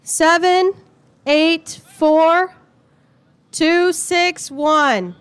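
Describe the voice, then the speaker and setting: A woman with a high voice announcing a raffle to a gathered crowd, playful and teasing as she reads out ticket numbers slowly. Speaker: raffle announcer at a race event.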